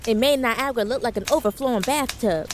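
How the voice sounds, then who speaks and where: An actress playing a commanding, wry 1940s spy who narrates an audio drama with confident, dramatic delivery. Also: female voice, fairly high pitch, fast, background noise, lightly acted. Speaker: narrator and lead character. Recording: music under the voice.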